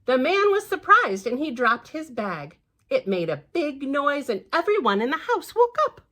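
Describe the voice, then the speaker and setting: A woman reading a picture book aloud to children, expressive and playful, with gentle little asides. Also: high voice, moderate pace. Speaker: library storytime reader.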